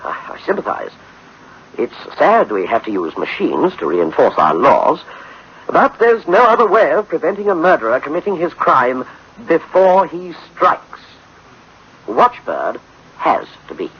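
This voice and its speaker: A man's voice: a little high, sing-song, a little slow, husky, full character voice. A man playing a formal, placating official at a meeting in a radio drama, somewhat hesitant, on a muffled old recording. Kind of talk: 1960s-style radio drama acting.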